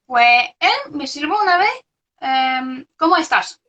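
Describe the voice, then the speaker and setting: A young woman from Belgium with a high, bright voice, speaking Spanish as a second language with plenty of fillers and restarts. The sound is dull and lo-fi, like audio from an online video call. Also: expressive, slow. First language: not Spanish. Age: young adult. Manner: hesitant, many fillers and restarts. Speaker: researcher guest. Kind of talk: casual interview over a live video stream.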